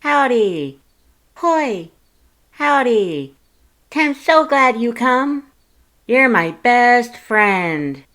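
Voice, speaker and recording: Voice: medium pitch, sing-song, drawn-out words, long pauses, bright, forceful tone, voice pushed up, heavily acted. A narrator voicing a childlike, overfriendly little flower monster with chirpy, exclaimed greetings. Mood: gleeful, gushing.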